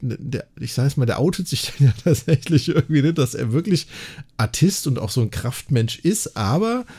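A man's voice: medium pitch, animated, normal speed, darkish tone, slightly rough. A man with a German accent, co-hosting a podcast, casually retelling and analysing a story in a chatty way full of tag questions. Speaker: podcast host.

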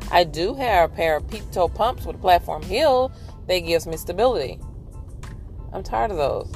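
A woman talks in a relaxed, candid, upbeat way from her car on the morning commute. Her voice sits low and slightly deep. Music plays clearly behind her. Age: 40s. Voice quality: somewhat deep voice. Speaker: podcast host. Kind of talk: casual chat while driving.

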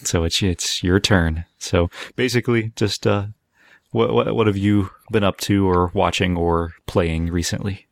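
A man's voice: low voice, steady tone, a little slow, creaky, no room sound. A man hosting a podcast in a casual, conversational style, putting questions to the others. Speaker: podcast host. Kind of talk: casual chat, asking questions.

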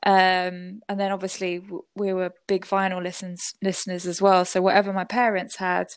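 A woman's voice: fairly low pitch, little pitch movement, moderate pace, smooth, no room sound. Chatty woman, dry close sound. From Southwest London, England. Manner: chatty and reflective, many fillers, laughs often. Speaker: guest musician. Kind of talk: casual interview conversation.

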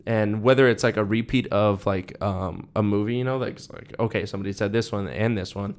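A man's voice: lowish voice, brisk. A young man co-hosting a podcast, chatting casually with lots of fillers and restarts. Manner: rambling, many fillers and restarts. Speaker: podcast co-host. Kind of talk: casual chat.

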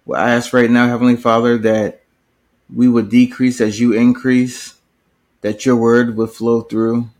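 A man hosting a faith podcast, praying in an earnest, steady cadence and introducing his guest. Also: mid-range voice. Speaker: podcast host. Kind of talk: spoken prayer and show intro.

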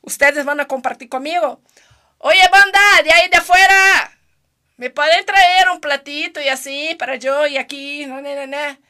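A woman's voice: high voice, moderate pace, bright, forceful tone. A woman from Brazil with a Brazilian Portuguese accent, hosting a playful, joking casual chat. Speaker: host.

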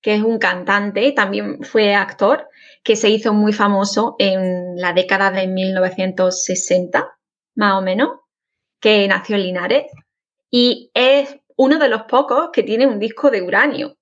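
A woman with an Andalusian accent, a guest in a casual interview, speaking in a friendly, informative and steady way. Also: medium pitch, measured pace. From Jaén, Andalusia, Spain. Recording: dull lo-fi audio.

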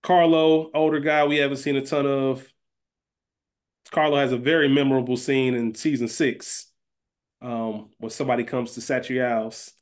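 A man's voice: mid-range voice, flat delivery, normal speed. A man chatting casually as a podcast co-host, explaining things in an informal, slangy way. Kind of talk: casual chat, fantasy draft banter.

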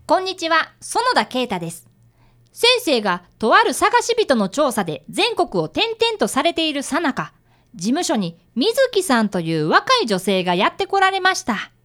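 A woman's voice: sits high, huge pitch swings, moderate pace, pushed and bright, full character voice. A woman playing an earnest, very polite schoolboy apprentice detective who narrates a radio drama. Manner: very polite, formal speech.

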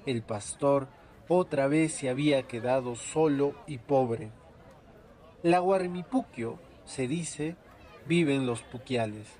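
Unhurried higher-voiced storyteller, a man. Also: darkish tone. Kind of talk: scripted folk tale narration.

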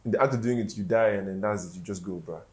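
A young man hosting a casual conversation, relaxed and slangy, with frequent murmured agreement. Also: on the low side, quick pace. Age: young adult. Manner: laid-back, slangy, lots of "mm" backchannels. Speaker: podcast host. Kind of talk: casual chat.

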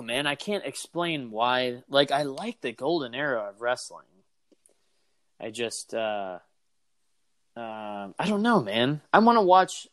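A man co-hosting a casual podcast chat, talking in an animated, rambling way with lots of fillers. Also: a little high, dry recording. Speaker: podcast co-host. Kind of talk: casual chat about wrestling.

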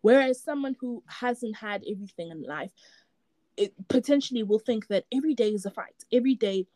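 Mellow-voiced woman, thoughtful. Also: on the high side, lively intonation, fairly quick, no room sound. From South Africa. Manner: thoughtful, repeats words while searching for them. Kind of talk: casual reflective chat.

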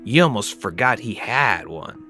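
A man playing a folksy, rustic yarn-spinner who tells a dark tale in a drawling, colloquial, theatrical way. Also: on the low side, expressive, measured pace, faint music behind. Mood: ominous, slow. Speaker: podcast host reading a tale. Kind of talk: theatrical dramatic storytelling.

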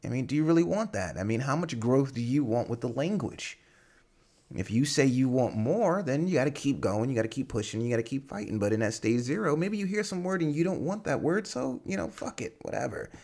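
A man's voice: medium pitch, animated, brisk, darkish tone. Rambling male podcast host. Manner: rambling, many fillers and restarts. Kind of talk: casual solo podcast talk.

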